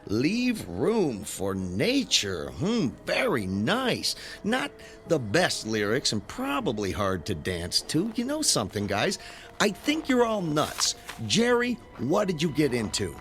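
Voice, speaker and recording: Radio drama skeptic: flippant, exasperated everyman, highly expressive range, dry sarcasm. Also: slow, faint music behind, heavily acted. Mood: mocking, defiant. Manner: wry, sardonic delivery. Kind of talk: modern radio drama acting.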